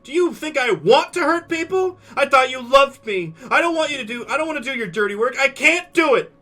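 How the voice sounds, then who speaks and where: A man voicing a volatile young dinosaur girl who screams in shrill outbursts. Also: steady tone, fast, soft background music, natural voice. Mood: screaming, distraught.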